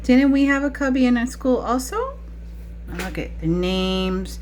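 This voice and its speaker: A woman's voice: fairly high pitch, expressive, a little slow, a little mellow, a little hiss or hum. A woman preschool teacher talking to young children during a picture-book read-aloud, with frequent questions in a teacherly way.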